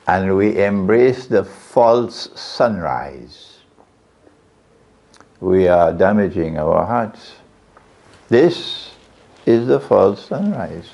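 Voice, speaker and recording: A gravelly-voiced male preacher lectures slowly and deliberately, his pitch rising and falling with lively swings. He puts questions to the crowd and hammers his key phrases home. The recording sounds dull and lo-fi. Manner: rhetorical questions to the audience, repeats key phrases. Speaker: Islamic preacher. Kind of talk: religious lecture to a live audience. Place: lecture venue.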